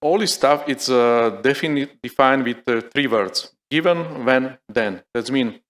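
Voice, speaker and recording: Man presenting a live demo. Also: mid-range voice. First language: Slovak. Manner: halting, many fillers and restarts, thinking aloud. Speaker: conference speaker. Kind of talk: live technical demo talk. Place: conference hall.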